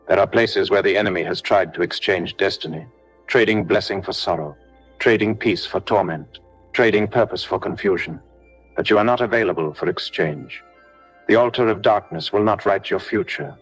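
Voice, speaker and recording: A man delivering a scripted devotional prayer in a solemn, declarative way with measured phrasing. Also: low-pitched. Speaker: prayer leader. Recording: music behind the voice. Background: background music.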